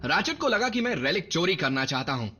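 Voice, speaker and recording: A cocky young robot rookie from a cartoon dub, over clearly audible music. The voice is high and lively, swinging about with brash, eager excitement. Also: male voice, a little fast. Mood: cocky, pleased. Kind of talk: Hindi cartoon dub acting.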